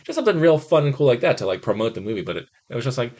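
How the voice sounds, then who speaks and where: An American man co-hosting a podcast, reviewing films in casual chat with animated, incredulous rants, mock quotes and swearing. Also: medium pitch, very fast. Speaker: podcast co-host.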